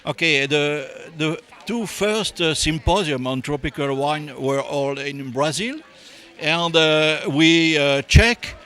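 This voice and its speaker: A French-accented man speaking English in a slightly higher-than-usual register. He is a professor being interviewed at a conference, and he searches for words with frequent hesitations. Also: slow, bright, forceful tone. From France. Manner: hesitant, many fillers. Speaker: viticulture professor.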